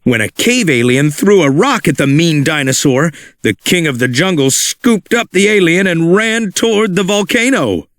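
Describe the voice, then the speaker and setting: Animated male storybook narrator. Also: fairly high pitch, moderate pace, few pauses, no echo. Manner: animated, expressive reading for children. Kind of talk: read-along storybook narration.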